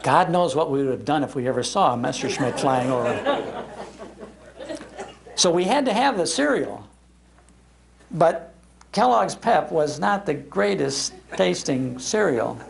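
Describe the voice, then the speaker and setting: An older man hosting a live talk, telling nostalgic stories in an animated, emphatic way. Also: on the high side, unhurried, vocal fry, long pauses. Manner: animated storyteller, emphatic repetition. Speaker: radio show host giving a talk. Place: public library talk before an audience.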